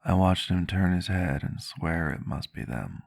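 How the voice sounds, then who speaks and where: A man playing a reflective, gentle vampire doctor who tells a story in measured, old-fashioned phrasing for an ASMR roleplay. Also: sits low, animated, vocal fry, heavily acted. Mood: reminiscing. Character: male vampire doctor, ageless but youthful-seeming, reflective and gentle. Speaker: roleplay character telling a story.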